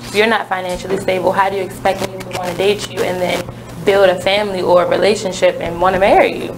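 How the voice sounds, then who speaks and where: A woman in her twenties, a radio guest speaking earnestly and self-assuredly, with frequent pauses to gather her thoughts. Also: lowish voice, level tone, music in the background, poor audio. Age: 20s. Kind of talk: casual radio talk.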